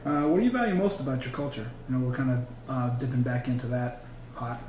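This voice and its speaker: A man interviewing a guest, asking brisk, short questions. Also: medium pitch, animated, darkish tone, distant mic. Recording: echoey room, faint hiss.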